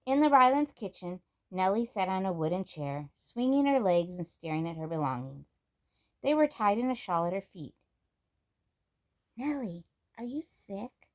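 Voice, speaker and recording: A woman reading a children's storybook aloud as an expressive narrator. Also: fairly low pitch, moderate pace. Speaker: storybook reader.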